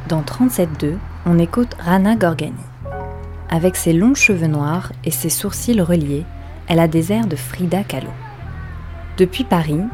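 Woman host reading a scripted intro, lowish voice, music playing behind her. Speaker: podcast presenter. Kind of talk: scripted narration introducing a guest.

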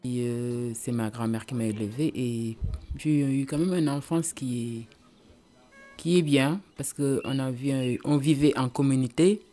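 A woman's voice: very deep voice, steady tone, warm tone, soft background music. A woman from Senegal, telling her life story with frequent hesitations. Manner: halting, with many hesitations. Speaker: interviewee.

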